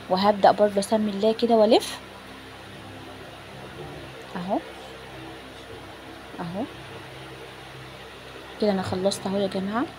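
An Egyptian woman talks viewers through home cooking in a casual, chatty way. Her pace is quick and her pitch sits in a middle female range. There is some noise in the recording. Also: warm tone, poor audio. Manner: chatty, informal, addressing viewers directly. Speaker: home cook presenting a recipe. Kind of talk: casual cooking tutorial narration. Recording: phone recording with clear background noise.

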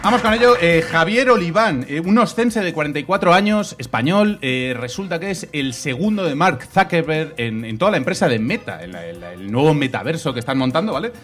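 A man, co-hosting a comedy podcast with jokey, repetitive banter on a small bar stage, talking into a boom mic. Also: brisk, hardly any pauses, soft background music. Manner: jokey, animated, repeats phrases. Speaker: co-host. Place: small dark bar stage with live audience. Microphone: desk mic on boom arm.

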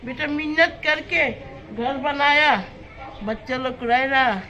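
Weary older woman, bright high voice. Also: slow, highs cut off. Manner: weary, pleading. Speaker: resident.

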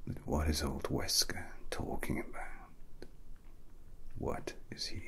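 A young man playing a menacing, grandiose villain scientist in an ASMR roleplay, whispering breathily and theatrically with deliberate, threatening pauses close to the mic. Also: rumbling low, flat delivery, fairly bright tone, full character voice. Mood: menacing, mocking.

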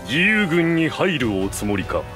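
An actor playing a grave, honourable bearded warrior in a video game, with solemn, archaic formal speech. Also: male voice, a little high, big pitch swings, measured pace, fairly bright tone, soft background music. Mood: grave, respectful. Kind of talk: video game cutscene voice acting.

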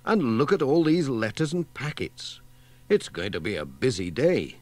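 A man's voice: mid-range voice, big pitch swings, normal speed, a little mellow, natural voice. A man voicing a friendly, good-natured village postman in a cheerful, chatty way for a storybook.

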